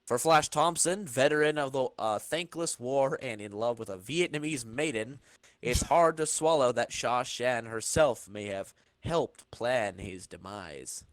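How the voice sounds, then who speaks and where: A man's voice: mid-range voice, unhurried, no echo. A man hosting a podcast, informally narrating a comic book in dramatic fashion with banter. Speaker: podcast host reading narration.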